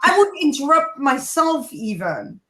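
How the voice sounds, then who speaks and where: A woman with a high voice talks in an animated, coaching way, stressing her points and turning to address listeners directly. Her voice rings in a roomy, echoing space. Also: unhurried. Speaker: guest, kindness speaker. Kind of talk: animated advice-giving interview talk.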